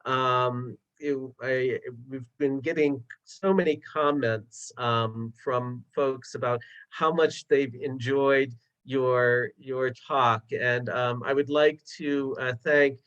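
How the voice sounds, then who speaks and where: A man moderates an online webinar at a slow, unhurried pace. He talks hesitantly, with frequent "um" and "uh". His voice comes through a video call. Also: mid-range voice, fairly even intonation, a bit bright, clean tone, lo-fi sound. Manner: hesitant, many fillers.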